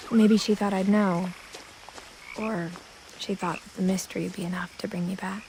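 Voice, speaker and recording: A woman playing a reflective seventeen-year-old girl, quietly narrating a story game in measured first person. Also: medium pitch, steady tone, close to an everyday voice. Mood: hushed, uneasy. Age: teenager. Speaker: narrator protagonist. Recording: clear outdoor nature sounds with insects. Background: ambient insects and nature noise.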